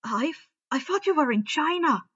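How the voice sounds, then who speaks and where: A woman voicing an earnest, hurried teenage boy wizard in a fantasy story reading. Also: high voice, expressive, fairly bright tone, no room sound, highs cut off, pitched above the performer's own voice. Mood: surprised, urgent. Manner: earnest, rushed.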